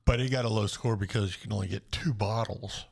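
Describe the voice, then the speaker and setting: A man's voice: on the low side, steady tone, a little fast, vocal fry, darkish tone, breathy edge. A man co-hosting a casual podcast, rambling with many restarts and wry jabs at his co-host. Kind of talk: casual chat over bourbon.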